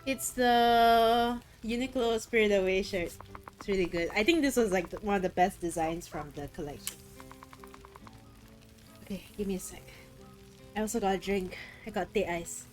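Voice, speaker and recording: A woman streaming a video game and chatting casually, excitable and swearing freely, her throat a little rough today. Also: medium pitch, moderate pace, faint music behind, low-quality recording. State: throat a bit rough, voice deeper than usual. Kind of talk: casual chat while gaming.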